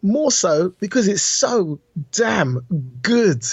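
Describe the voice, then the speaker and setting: A man's voice: high-pitched, sing-song, takes it slowly, slightly rough, dry recording. A man chatting casually and enthusiastically as a podcast co-host, with informal, emphatic delivery. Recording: dull, muffled lo-fi audio.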